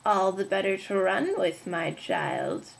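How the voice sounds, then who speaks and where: A woman voicing a big, wicked male wolf who is sly and hungry, talking loud and drawn-out in a told fairy tale. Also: mid-range voice, lively intonation, gravelly, low-quality recording.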